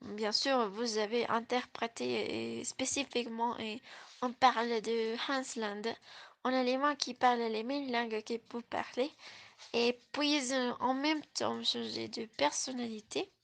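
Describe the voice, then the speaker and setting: A woman hosting a scripted interview, reading her questions slowly. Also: fairly high pitch, steady tone, vocal fry, a bit bright. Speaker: host.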